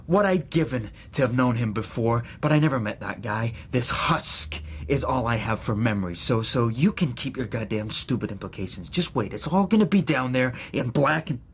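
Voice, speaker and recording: An actor playing a defensive, gullible young American graduate student in a radio drama, with halting, stammering speech. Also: male voice, mid-range voice, animated, slight background noise, close to an everyday voice. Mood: defensive, heated. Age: young adult. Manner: halting, stammering when worked up. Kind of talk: naturalistic radio drama acting.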